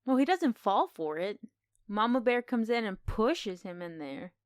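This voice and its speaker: Clear-voiced enthusiastic woman podcaster. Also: on the high side, unhurried, no room sound, studio quality. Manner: enthusiastic, expressive retelling. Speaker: podcast co-host. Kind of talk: casual film discussion.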